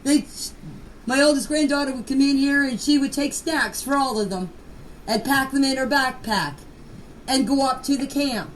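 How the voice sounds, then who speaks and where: High-voiced older woman, plain-spoken, noisy recording. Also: normal speed. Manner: plain-spoken, folksy, repeats phrases. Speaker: grandmother recounting memories. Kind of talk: informal personal recollection. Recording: clear background noise, amateur voice clip.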